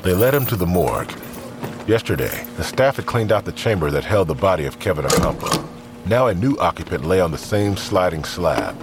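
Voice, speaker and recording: A man's voice: low voice, very animated, brisk, heavy background noise. A man narrating a scripted crime audio drama in the role of storyteller.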